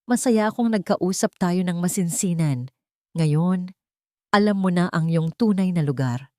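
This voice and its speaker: A woman's voice: lowish voice, unhurried, mellow, dry recording, clean studio sound, natural voice. A woman with a Filipino accent voicing a scheming, venomous rich female villain in a dramatic audio storybook. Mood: threatening. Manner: menacing, taunting, calculated.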